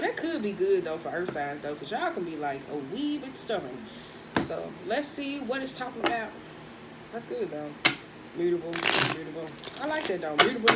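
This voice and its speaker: A woman with a husky voice doing a casual, chatty tarot reading, speaking in with laughs and slangy asides. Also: mid-range voice, heavy background noise. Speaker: tarot reader.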